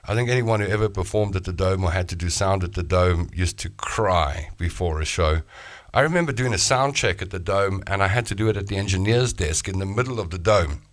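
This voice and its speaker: A man's voice: low-pitched, fairly quick, no echo, clean studio sound. A middle-aged man hosting a live show, chatting casually and self-mockingly as he reads out viewer comments. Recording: music playing behind the voice.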